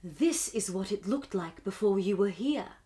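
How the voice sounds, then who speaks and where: A woman voicing a wise, gentle old male owl in a calm, hushed, wistful storybook manner. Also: mid-range voice, unhurried, pitched below the performer's own voice, lightly acted.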